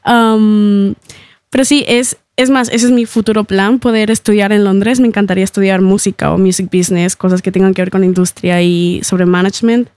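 A young woman from Ecuador, a singer guesting on a radio interview, who laughs often and fills pauses with "eh" and "am". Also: medium pitch, steady tone, darkish tone, clean tone, no echo. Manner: laughs often, speaks with fillers like "eh" and "am". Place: radio studio.

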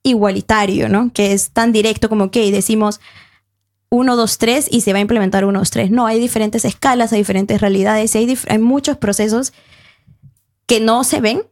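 A young woman with a slightly gravelly, fry-tinged voice. She explains ideas thoughtfully, pausing on fillers and correcting herself as she goes. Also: a little high, steady tone, darkish tone. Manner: thoughtful, hesitant, many fillers and self-corrections. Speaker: panel guest. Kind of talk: academic discussion.

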